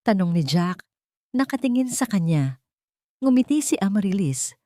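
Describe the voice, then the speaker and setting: A woman narrating a scripted dramatic love story in short, deliberate phrases. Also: medium pitch, big pitch swings, mellow, no echo, studio quality.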